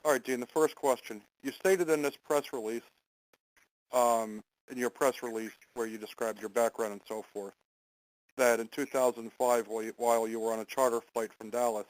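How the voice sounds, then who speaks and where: Halting male conference call host. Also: medium pitch, steady tone, poor audio. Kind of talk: semi-formal question-and-answer hosting.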